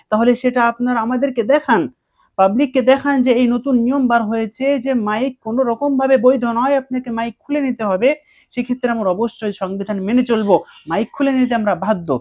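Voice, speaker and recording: A man's voice: very high-pitched, darkish tone. A man giving explanatory commentary to viewers, emphatic and repeating his points.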